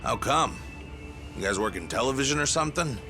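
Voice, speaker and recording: A man's voice: medium pitch, animated, fairly quick, gravelly, music in the background. A man playing a chatty, folksy, laid-back taxi driver in a video game, rambling along informally. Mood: chatty, easygoing. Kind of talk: English video game cutscene acting.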